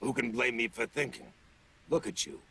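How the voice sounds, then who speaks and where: A man voicing a gruff, lecherous demon hunter cursed into a wooden dummy, a world-weary wisecracker in a TV drama. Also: mid-range voice, sharp tone, slight background noise. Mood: wry, cocky. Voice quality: gravelly. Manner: world-weary wisecracking tough guy, drawn-out words. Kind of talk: television drama acting. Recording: TV soundtrack with prominent music. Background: music.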